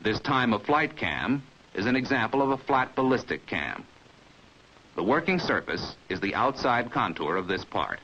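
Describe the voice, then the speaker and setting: An American man reading a scripted 1950s training film narration in a measured, precise, didactic manner, as heard on an old film soundtrack. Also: medium pitch, very animated, pushed and bright, lo-fi sound, slight background noise.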